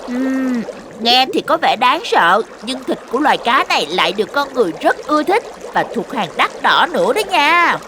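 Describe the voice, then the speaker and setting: A knowledgeable young cartoon explainer. The voice is high and animated, helpful and instructive, over faint background noise. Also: female voice, normal speed, few pauses. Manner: instructive, expressive. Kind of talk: children's cartoon dubbing, scripted explanation.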